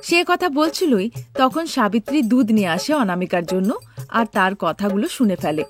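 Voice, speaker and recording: A woman's voice: on the high side, animated, normal speed, faint music behind. A woman narrating a scripted story as a storyteller.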